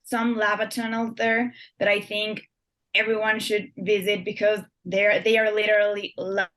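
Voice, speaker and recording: A woman's voice: mid-range voice, level tone, normal speed, fairly bright tone. A young woman from Ecuador, a guest author answering interview questions in a chatty way, with many fillers and restarted words.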